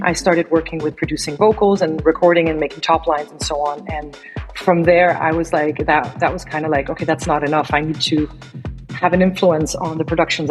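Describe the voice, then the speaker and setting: A woman DJ and singer, raised abroad, chatting casually in an interview, often repeating words as she restarts her sentences. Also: deep voice. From Denmark, raised in Egypt. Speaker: guest DJ and singer.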